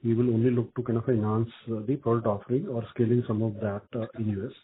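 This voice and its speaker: A man with an Indian accent answering as a company executive on an earnings call, speaking haltingly with many repeated filler phrases. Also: mid-range voice, level tone, a little hiss or hum. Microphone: telephone conference call. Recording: muffled narrow phone line.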